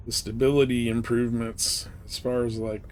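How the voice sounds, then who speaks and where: A man's voice: mid-range voice, flat delivery, normal speed, a little hiss or hum, poor audio. A man chatting casually as he live streams a game. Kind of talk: casual gaming stream commentary.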